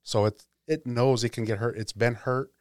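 A man's voice: mid-range voice, fairly even intonation, moderate pace, dry recording, studio quality. A man hosting a tabletop gaming podcast, speaking in a casual, chatty style. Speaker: podcast host.

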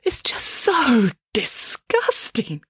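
Storybook part: timid, easily disgusted girl who wails and protests in high, animated tones, woman performer. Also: a little slow, no room sound, heavily acted. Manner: wailing, protesting. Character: fearful, squeamish, whiny girl.

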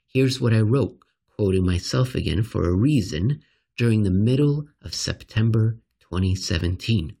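A man reading a scripted economics commentary aloud as narrator, with an emphatic, rhetorical delivery and deliberate pauses. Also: on the low side, animated, creaky, dark tone, breathy edge.

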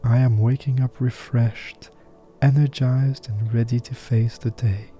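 A man leading a guided meditation with affirmations, soft-spoken and soothing, leaving unhurried pauses. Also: mid-range voice, steady tone, soft background music.

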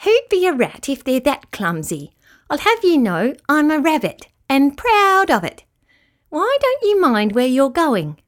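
Woman putting on a haughty, high-pitched storybook rabbit. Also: wildly swooping pitch, measured pace, full character voice. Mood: indignant. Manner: haughty, opinionated, emphatic. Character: proud, snooty but good-natured rabbit. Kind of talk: children's storybook character voice.

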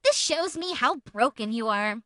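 A woman's voice: on the high side, animated, normal speed, fairly bright tone, dry recording, studio quality. A woman voicing an aggrieved, accusing woman in a dramatic reading of a text exchange, sounding self-pitying. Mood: wounded, scornful.

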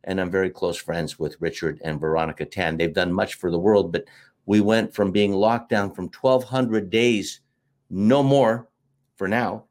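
A man's voice: low voice, fairly even intonation, measured pace, darkish tone. Middle-aged american guy telling long stories in an interview, lots of ums. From United States. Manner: storytelling, expansive, with fillers. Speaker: interview guest, marketing teacher. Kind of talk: casual interview talk.

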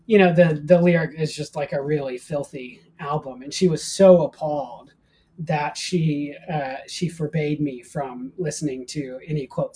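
A man's voice: a little high, darkish tone, a little hiss or hum, poor audio. An American man in his forties speaking casually as an interview guest, telling personal anecdotes in a self-deprecating way. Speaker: guest, professor of ancient Christianity.

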